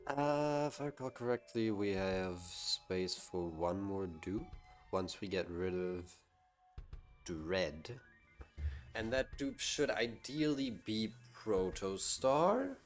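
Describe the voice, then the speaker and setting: A man's voice: fairly low pitch, animated, slow pace, soft background music. A man streaming a game live, chatting casually to his viewers and musing aloud to himself. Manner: talks to chat and muses aloud to himself.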